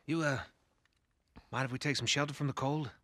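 An actor playing a middle-aged American man, a polite, soft-spoken survivor leader who talks in a hesitant, measured, placating way in a video game. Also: medium pitch, steady tone, no room sound, close to an everyday voice. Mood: gentle, coaxing. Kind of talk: naturalistic video game acting.

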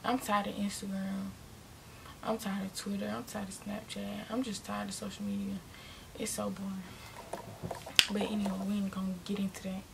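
Chatty nineteen-year-old woman with a gravelly edge. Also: mid-range voice, level tone, normal speed, pauses often, noisy background. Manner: chatty, rambling, restarts and self-corrections, drops into quiet asides. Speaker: vlogger. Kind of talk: casual Q and A talking to viewers. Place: bedroom, indoors, small.

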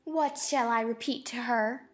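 Highish, pompous Tweedledum played by a woman. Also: a little slow, soft background music. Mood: reciting. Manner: pompous, reciting. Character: Tweedledum, pompous comic twin brother. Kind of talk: dramatized audiobook acting.

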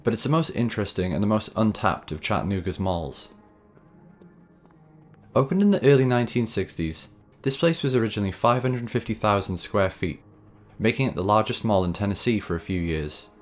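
A man with a low, deep voice narrates a scripted video essay over faint background music. His delivery is measured and conversational, with a wry, reflective touch. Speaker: host and narrator of a video series.

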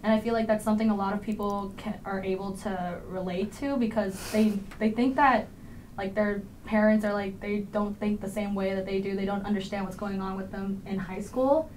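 A teenage young woman speaks at a middling pitch as a student actor in a casual group interview. Faint ambient noise sits behind her voice. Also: steady tone, normal speed, darkish tone, rough recording. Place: indoor panel, seated group. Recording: clear background room noise.

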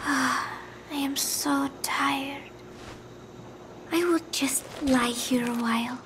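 A woman playing a gentle, confused young student in a video game, speaking softly and hesitantly and trailing off. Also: high-pitched, fairly even intonation, drawn-out words, noisy background. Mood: confused, weary. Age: young adult. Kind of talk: dramatic video game acting.

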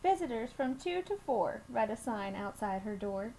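Young female storytime reader, high voice, deliberate expressive delivery, slightly noisy webcam recording. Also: vocal fry. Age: young adult. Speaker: children's storytime reader at a school. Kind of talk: reading a picture book aloud to children. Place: small room at home, indoors.

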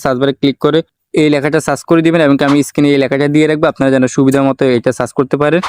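Male tutorial host, rapid delivery, slightly high pitch, English words mixed in. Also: a little mellow, smooth, no echo. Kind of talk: step-by-step software tutorial narration.

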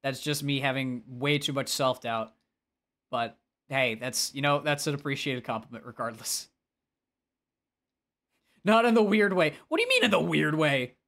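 A man's voice: fairly high pitch, animated, moderate pace, long pauses, sharp tone. A man with a nasal voice, talking casually and expressively as he livestreams a game and answers chat, often self-deprecating.

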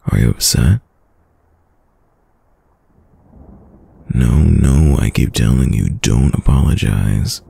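A man playing a caring, worried boyfriend in an intimate ASMR roleplay, with a deep, gravelly, husky voice and a soft, soothing manner. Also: full character voice. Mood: tender, concerned. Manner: soft, slow, soothing, hesitant. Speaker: audio roleplay voice actor.

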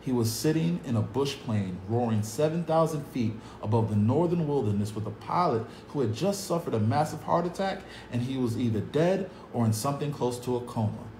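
30s guy reading a kids novel out loud, earnest, phone on a table, echoey room, faint hum. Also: mid-range voice, expressive, a little fast, few pauses. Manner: earnest, dramatic, upbeat at the close. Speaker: youth club staff reading a novel aloud. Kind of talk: read-aloud storytelling for kids. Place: cinderblock room at a table, indoors.

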